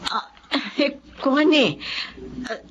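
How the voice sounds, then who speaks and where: A flustered, evasive woman, halting and excitable. Her high voice swings widely, in a radio drama with muffled sound. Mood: nervous, defensive. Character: flustered, evasive female caretaker friend. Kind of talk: Filipino radio drama acting. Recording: muffled recording with faint noise.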